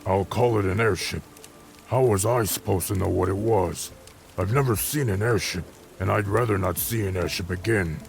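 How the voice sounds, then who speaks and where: Gruff male dwarf adventurer played by a male narrator, low gravelly voice, blunt and grumbling, light music underneath. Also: normal speed.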